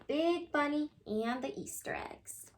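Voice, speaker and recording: High-pitched, animated woman reading to kids. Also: takes it slowly, slight background noise. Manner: animated, expressive, exclaiming to young listeners. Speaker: storyteller reading aloud to children. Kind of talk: children's picture book read-aloud.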